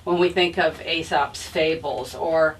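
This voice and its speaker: A woman's voice: low-pitched, steady tone, unhurried. A woman hosting a bookstore author event, delivering prepared introductions and questions in formal, flowery phrases. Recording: distant mic, faint room noise.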